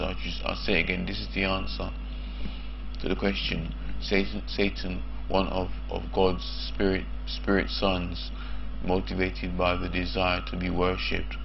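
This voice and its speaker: A man in his thirties, croaky from just waking up, talking haltingly to camera with many restarts. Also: low-pitched. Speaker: vlogger. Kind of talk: unscripted talk to camera. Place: small room indoors. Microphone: lapel mic. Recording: dull lo-fi sound with noise.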